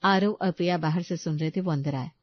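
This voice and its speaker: A woman's voice: on the low side, a little fast, dry recording, dull audio. A woman reading scripted narration of a family story as a storyteller.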